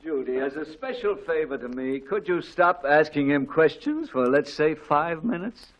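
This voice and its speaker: Actor as sardonic middle-aged American father, lively swooping intonation, dry grumbling, 1940s radio comedy. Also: fairly high pitch, dull audio, natural voice. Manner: dry, grumbling, deliberate sarcasm. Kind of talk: 1940s radio sitcom acting.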